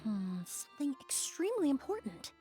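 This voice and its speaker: A woman's voice: sits high, sing-song, moderate pace, mellow, soft background music. A woman voicing a poised, analytical young investigator in a video game, thoughtful and polite. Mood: pondering.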